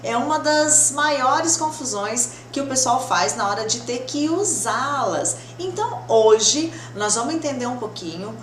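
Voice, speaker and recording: Brazilian lady english teacher, highish voice, chatty n reassuring explainer, echoey room w some noise. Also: expressive, measured pace, few pauses. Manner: didactic, reassuring, repeats with check-in questions. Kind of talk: conversational grammar lesson to camera. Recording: roomy echo, clear background noise.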